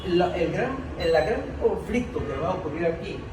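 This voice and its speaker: A man's voice: high-pitched, lively intonation, moderate pace. A Venezuelan man speaking as a political analyst in an informal panel discussion, earnest and insistent, repeating phrases for emphasis. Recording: echoey room, distant mic, clear noise.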